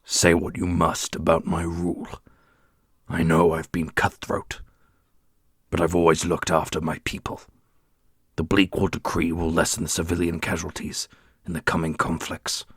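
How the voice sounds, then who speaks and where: Man acting a low, husky, stern fantasy ruler. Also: completely flat delivery, no echo, studio quality. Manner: measured, formal, authoritative. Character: commanding male fantasy ruler, stern, loyal to his people. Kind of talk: ASMR fantasy roleplay.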